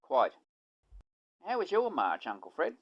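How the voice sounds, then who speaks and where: A man's voice: on the high side, huge pitch swings, measured pace, dull audio, full character voice. A man playing a dry, understated returned soldier and dutiful son in an amateur radio play. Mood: polite, teasing.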